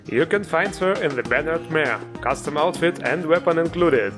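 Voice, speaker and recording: A man's voice: a little high, moderate pace. A man narrating a jokey, scripted gaming review as host, playful and tongue-in-cheek. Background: music.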